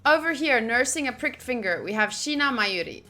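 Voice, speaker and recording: Bright, high-voiced woman streaming a visual novel. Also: normal speed, faint music behind. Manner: expressive, gushing asides between read passages. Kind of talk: game playthrough, reading narration aloud with live reactions.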